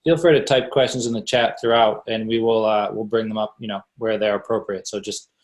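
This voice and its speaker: A man hosting an online seminar, asking questions in a relaxed, conversational way with many fillers. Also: on the low side, a little fast. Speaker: seminar host, interviewer. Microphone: video call.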